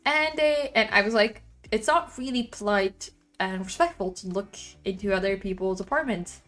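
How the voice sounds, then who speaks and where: A woman with a loud voice chatting casually on a livestream from her apartment, rambling and venting about her neighbors. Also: mid-range voice, moderate pace, faint music behind. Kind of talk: casual chat.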